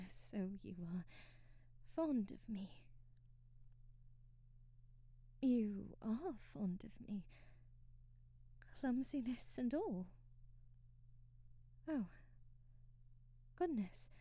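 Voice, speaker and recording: A woman playing a timid, devoted maid in an ASMR roleplay, babbling hesitantly and apologetically in formal old-fashioned wording, with a breathy voice that often drops to a whisper. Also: high-pitched, mellow, no echo. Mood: surprised, relieved.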